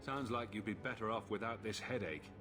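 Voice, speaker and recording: A man's voice: lowish voice, fairly quick, music in the background, close to an everyday voice. An actor playing a wry, easygoing male adventurer in a fantasy video game, with a dry, quippy, casual delivery. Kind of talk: video game character acting.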